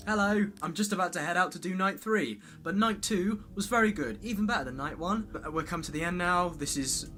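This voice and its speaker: A young man talks casually, as in a video diary, with a bright and forceful tone. His pitch sits a bit high, and there is light music behind him. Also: brisk, hardly any pauses.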